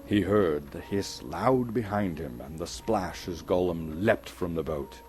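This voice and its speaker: A man's voice: deep voice, lively intonation, unhurried, a little mellow, a little hiss or hum. A man from Canada giving a dramatic scripted narration of a fantasy story as an actor and storyteller. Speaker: storyteller, actor reading a novel.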